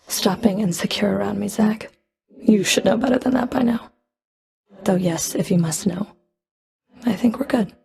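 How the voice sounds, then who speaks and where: A woman playing a cold, proud Fury of the underworld in a video game, dry and cutting, with a creaky edge to her voice. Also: mid-range voice, darkish tone, natural voice. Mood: exasperated, softening. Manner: dry, cutting, haughty, controlled. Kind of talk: video game character voice lines.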